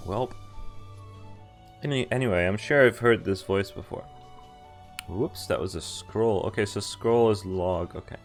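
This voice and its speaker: A man streaming a visual novel, reading its text aloud and chatting in a halting, thinking-aloud way. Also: on the low side, soft background music.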